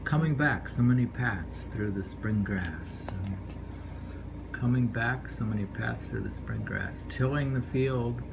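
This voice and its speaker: Slow, low-key man reading poetry, noisy room. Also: sing-song, vocal fry. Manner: unhurried, rambling, repeats lines. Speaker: poetry reader. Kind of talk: informal poetry reading with offhand commentary. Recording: noisy room ambience, amateur home recording.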